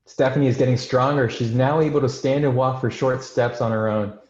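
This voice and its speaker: A man's voice: medium pitch, fairly even intonation, fairly quick, darkish tone. Male screenplay narrator: scripted delivery, mid-range voice, roomy and slightly dull recording. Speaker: narrator of stage directions.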